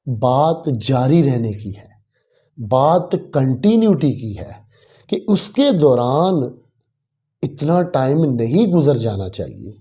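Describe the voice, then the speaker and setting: A man's voice: a little high, warm tone. A man with a Pakistani accent lecturing like a law teacher, explaining didactically and repeating key phrases for emphasis. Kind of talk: exam lecture explaining a legal text, switching between Urdu and English.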